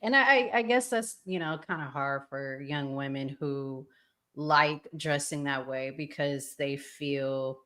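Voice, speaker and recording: An American woman co-hosting a casual chat, speaking thoughtfully and hesitantly with trailing pauses. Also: rumbling low, a bit bright. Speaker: podcast co-host.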